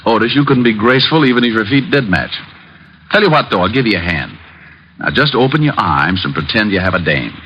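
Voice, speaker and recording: Man acting a low-voiced wisecracking American private eye. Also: expressive, fairly quick, slight background noise, natural voice. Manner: breezy, sardonic, slangy. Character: wisecracking, flirtatious hardboiled male private detective. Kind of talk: 1940s-50s radio drama acting with first-person narration. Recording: muffled old radio recording with music.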